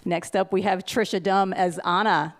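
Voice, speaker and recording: A woman's voice: medium pitch, fairly even intonation, normal speed. A woman hosting a live table read, introducing each actor in an upbeat announcing manner. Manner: upbeat, announcing, with gravelly fry. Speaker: event host introducing the cast. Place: live venue.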